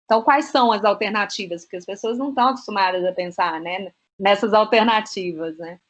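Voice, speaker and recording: A woman guest, a business consultant, talking freely in an interview, often filling pauses with short tags. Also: mid-range voice. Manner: talkative, fills with "né" and "é". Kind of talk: casual interview chat.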